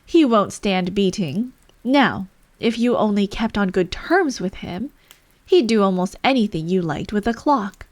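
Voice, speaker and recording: A woman's voice: mid-range voice, animated, unhurried, a little mellow, no echo, natural voice. A woman voicing an eccentric male hat-maker, haughty and mournful, in a storybook reading. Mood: expounding.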